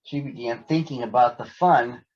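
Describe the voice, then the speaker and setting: A man reads a picture book aloud for children in a husky voice. His delivery is expressive, with theatrical pauses. The recording sounds a bit dull and lo-fi. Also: medium pitch. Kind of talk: read-aloud children's story narration.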